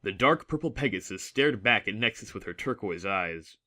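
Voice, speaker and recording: A man's voice: fairly low pitch, lively intonation, normal speed, sharp tone. A man reading scripted story narration as the narrator of a dramatic audiobook.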